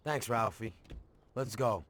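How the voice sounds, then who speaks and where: An actor with an American accent playing a blunt, slangy, streetwise mob enforcer in a video game. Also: male voice, fairly low pitch, slow, a bit bright. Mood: breezy. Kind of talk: dramatic video game cutscene acting.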